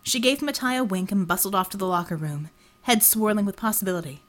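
A woman's voice: medium pitch, fast, fairly bright tone. A woman reading scripted fiction as an audiobook narrator.

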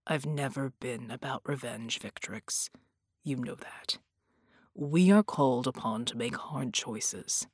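A woman voicing a gruff but gentle, stoic man in an audiobook, in a low, measured murmur. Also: no echo, studio quality, heavily acted. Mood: grave, forgiving. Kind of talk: audiobook character dialogue.

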